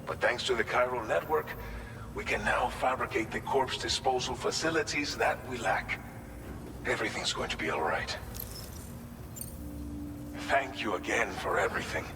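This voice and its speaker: An actor playing an earnest, polite settlement official in a video game, speaking a little hesitantly. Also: male voice, medium pitch, normal speed, long pauses, background noise, natural voice. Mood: reassuring. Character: earnest, grateful man running a post-apocalyptic settlement facility. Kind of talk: video game cutscene acting.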